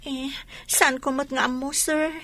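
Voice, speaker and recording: A meek woman in an Ilocano radio drama. Her high voice has an Ilocano accent. She speaks hesitantly and deferentially, as if always apologizing. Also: level tone, a little slow, fairly bright tone, dry recording. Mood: meek, pleading. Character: meek, apologetic woman pleading against eviction.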